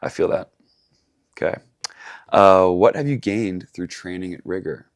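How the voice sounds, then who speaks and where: A man's voice: sits low, a little slow. A man coaching at a gym, casually interviewing a member with friendly, teasing questions.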